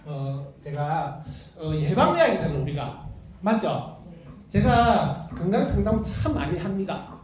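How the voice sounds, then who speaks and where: A man's voice: high-pitched, darkish tone, a little hiss or hum. A man with a Gyeongsang Korean accent giving an unscripted health lecture to a live class. He chats freely, checks whether the class is following and laughs often. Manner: chatty, asks the class for agreement, laughs often. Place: lecture room with a live audience. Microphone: no working mic, heard from across the room. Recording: very echoey room, distant from mic.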